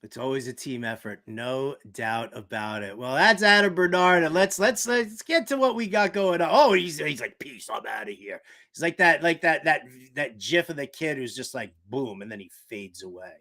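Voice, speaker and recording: A man with a fairly high-pitched voice hosts a casual sports talk show. He delivers comedic commentary with theatrical flair, often hammering a word or phrase twice for emphasis. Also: normal speed, sharp tone. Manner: joking, theatrical, emphatic repetition. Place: shed used as home studio.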